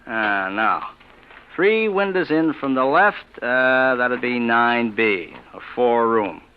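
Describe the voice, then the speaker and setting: Working-class New janitor type, folksy, dismissive, swooping pitch, vintage radio drama, dull recording. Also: male voice, animated, takes it slowly, smooth. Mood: offhand. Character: skeptical working-class apartment superintendent, gruffly good-natured. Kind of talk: 1940s-50s radio drama acting.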